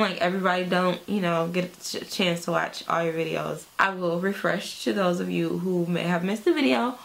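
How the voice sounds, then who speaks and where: A woman's voice: mid-range voice, fairly bright tone, poor audio. A woman in her thirties chatting casually to camera on her channel, upbeat and full of "like" and "y'all". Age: 30s. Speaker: beauty vlogger.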